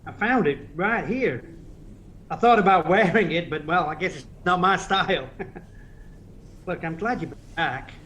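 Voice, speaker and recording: A man playing a kind, concerned, slightly awkward friend in an audio play, gentle and hesitant. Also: high voice, a little slow, pauses often. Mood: concerned, tender. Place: recorded at home during lockdown. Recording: muffled, noisy home recording.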